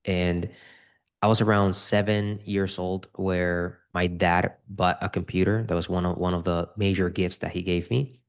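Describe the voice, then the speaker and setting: Guest: low-pitched man, earnest, stumbles over sentence starts. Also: level tone, a little slow, smooth. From Dominican Republic. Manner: earnest, repeats words when starting sentences. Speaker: guest, real estate investor. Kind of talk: casual interview conversation.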